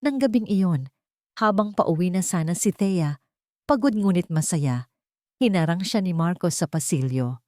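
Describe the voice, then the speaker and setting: A woman reading dramatic scripted narration as a storyteller, emotive and deliberate, in short suspenseful phrases. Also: fairly low pitch, expressive, darkish tone, no room sound, studio quality.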